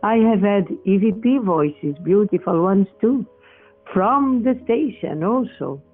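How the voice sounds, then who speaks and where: A woman's voice: medium pitch, animated, slow, faint music behind. An older woman from Portugal, a native Portuguese speaker, talking as a guest and searching for words with frequent restarts. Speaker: guest, researcher of spirit voice recordings. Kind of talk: interview over a remote call. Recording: tinny phone-like line.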